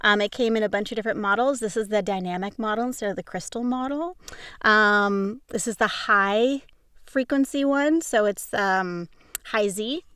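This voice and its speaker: A woman's voice: medium pitch, normal speed, fairly bright tone, clean tone, dry recording. A woman voice actor from the Pacific Northwest, chatting casually in an enthusiastic, self-deprecating way on a close mic. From Pacific Northwest, United States. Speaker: voice actor guest. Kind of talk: casual chat. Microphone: close studio mic on a video call.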